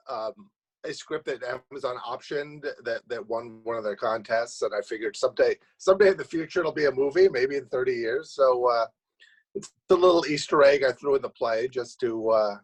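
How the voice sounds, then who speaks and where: Male playwright guest, medium register, casual and halting in interview. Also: mid-range voice, lively intonation, poor audio.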